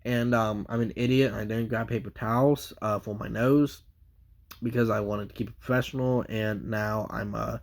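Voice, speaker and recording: A man's voice: fairly low pitch, steady tone, moderate pace, gravelly. A man with a stuffy nose from a cold, giving a casual, rambling comic book review full of fillers and restarts.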